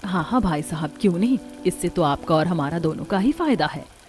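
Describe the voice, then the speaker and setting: A woman's voice: fairly low pitch, lively intonation, fairly quick, darkish tone, lightly acted. A woman voicing a kind, sensible young village daughter-in-law in a cartoon, earnest and respectful. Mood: agreeable.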